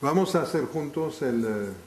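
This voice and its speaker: Halting male lecturer, not a native speaker. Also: on the high side, expressive, a little hiss or hum. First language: not Spanish. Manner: halting, full of restarts while thinking aloud. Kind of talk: live lecture to an audience.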